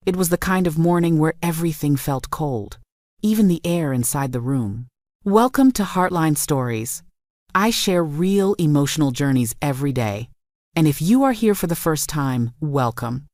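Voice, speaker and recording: Low-voiced woman narrating a drama. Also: a little mellow, no room sound, studio quality. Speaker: story narrator.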